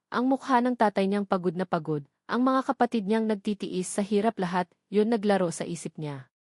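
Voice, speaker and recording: A woman's voice: medium pitch, a little mellow, no echo, studio quality. A woman narrating a scripted romance drama as a storyteller.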